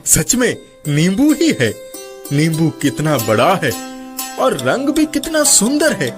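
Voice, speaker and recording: A man's voice: a little high, wildly swooping pitch, slow pace, soft background music, exaggerated voice. A man voicing a proud, wily king in a cartoon story, with a stately, commanding manner. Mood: imperious. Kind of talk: Hindi cartoon story character dubbing.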